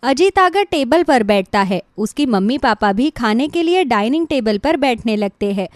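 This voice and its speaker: A woman's voice: fairly high pitch, lively intonation, moderate pace, no room sound, clean studio sound. A woman giving scripted narration for a cartoon moral story.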